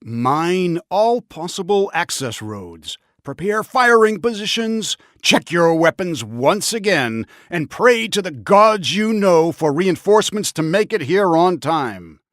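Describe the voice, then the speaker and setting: A commanding army officer in a war video game. His fairly high voice swings widely as he earnestly and urgently lays out orders to his squad. The sound is close and dry. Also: very animated, slow pace, hardly any pauses, no room sound, heavily acted. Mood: rousing, urgent, then resolute. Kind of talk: military mission briefing in a war game.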